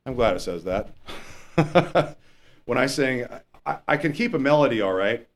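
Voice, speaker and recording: A man with a lower voice, a pastor teaching verse by verse in a conversational way and reading scripture slowly and with emphasis. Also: expressive. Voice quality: lower voice, not strong. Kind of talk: verse-by-verse Bible teaching sermon.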